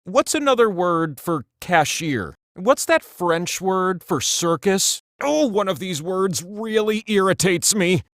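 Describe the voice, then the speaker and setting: An excitable, quirky male host of a trivia video game. His voice sits fairly high and leaps around with lively, theatrical swings. He delivers hints playfully, with exasperated asides, in a dry, close studio sound. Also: slow pace, dry recording, heavily acted. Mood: playful, quizzing. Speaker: game show host character. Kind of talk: quiz hint narration for a party video game.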